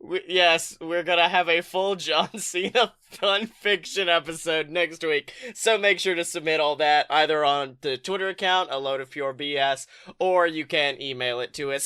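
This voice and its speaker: A man hosting a comedic podcast with casual, joking, profane banter in an animated manner. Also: sits high, clear, resonant tone. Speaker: podcast host.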